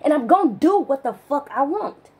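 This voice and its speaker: A woman vlogger in a spiritual rant monologue, emphatic and profane, stressing single words with long pauses. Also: sits high, sing-song, a little mellow.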